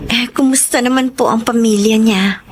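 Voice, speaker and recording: Woman acting high-voiced, shy Filipino young mother. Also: steady tone, moderate pace, close to an everyday voice. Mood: shy, concerned. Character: shy, polite, anxious young new mother. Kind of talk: Filipino radio drama acting.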